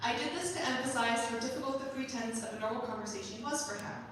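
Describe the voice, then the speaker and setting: A high-pitched woman speaks as a composer introducing a staged reading of a musical. Her voice rings through a very echoey hall, picked up from some distance. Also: quick pace, a bit bright, slight background noise. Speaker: composer and performer presenting their capstone musical.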